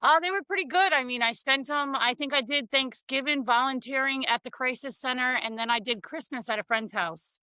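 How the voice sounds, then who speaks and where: A woman in her thirties from Connecticut talks bluntly and frankly, with casual slang. Her voice is bright and sits a little high. The recording is dry and close. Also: a little fast, hardly any pauses, no room sound, low-quality recording. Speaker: guest. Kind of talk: casual interview, telling her life story.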